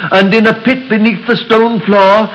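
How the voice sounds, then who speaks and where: Solemn church elder, slightly high voice; dull old radio sound. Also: male voice, level tone, a bit bright, a little hiss or hum. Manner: slow, solemn, measured storytelling. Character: grave local church elder telling legends. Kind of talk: 1930s radio drama acting.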